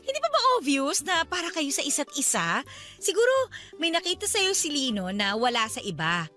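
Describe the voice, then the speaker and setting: An actress playing a chirpy, boastful matchmaking friend in a radio drama, teasing and emphatic, with a Filipino accent. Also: female voice, sits high, very animated, fairly quick, bright, forceful tone, soft background music, full character voice. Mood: encouraging, proud.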